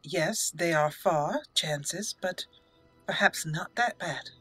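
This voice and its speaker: A woman's voice: sits low, slow pace, a bit bright, slightly rough, soft background music. A woman hosting a casual, chatty roleplay let's play, sometimes reading dialogue theatrically. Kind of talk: casual game commentary with roleplay.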